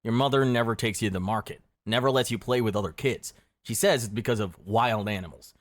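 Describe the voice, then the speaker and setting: Low-voiced man narrating a dark history script. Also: fast, dry recording. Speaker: history explainer video narrator. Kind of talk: scripted second-person narration.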